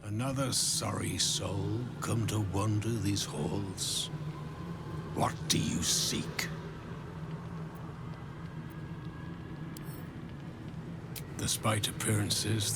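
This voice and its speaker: An actor playing a weary, dignified damned architect in a fantasy game, solemn and halting, often sinking to a near-whisper. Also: male voice, fairly low pitch, gravelly. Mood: grim resignation. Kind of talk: dramatic video game acting. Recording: prominent music behind the voice.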